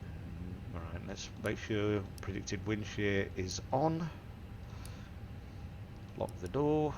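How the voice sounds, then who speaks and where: A low, gravelly man with a bunged-up nose from a cold, working through a cockpit setup step by step. He reads out each number and setting calmly as he goes. Steady aircraft hum sits clearly behind his voice. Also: drawn-out words, dull audio. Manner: methodical, talks through each setting aloud. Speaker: flight sim tutorial host. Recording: simulator engine and cabin noise behind. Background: aircraft simulator hum.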